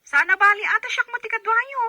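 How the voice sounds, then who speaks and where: A woman's voice: very high voice, a little fast, bright, forceful tone, no echo, exaggerated voice. A woman playing a young Filipino daughter talking to her mother in a radio drama. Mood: eager.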